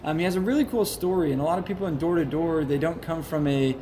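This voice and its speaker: Male podcast host, upbeat and jokey, slightly high voice, noisy room. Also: quick pace. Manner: energetic, joking, many restarts. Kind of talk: casual interview chat.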